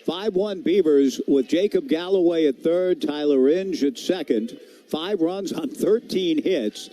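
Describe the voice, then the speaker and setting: Dark-toned man calling baseball on radio. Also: a little high, a little slow. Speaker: baseball play-by-play announcer. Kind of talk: live radio sports commentary.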